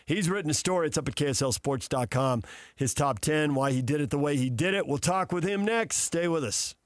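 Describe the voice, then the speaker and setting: A man's voice: fairly high pitch, fairly quick. A man hosting a sports talk radio show, introducing segments and putting questions to guests.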